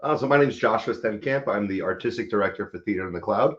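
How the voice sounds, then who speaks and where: Lowish-voiced man, casual interview guest. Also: quick pace, fairly bright tone. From Florida, United States. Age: 30s. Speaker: guest, theater artistic director. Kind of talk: casual self-introduction in interview. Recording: slightly dull, lo-fi sound.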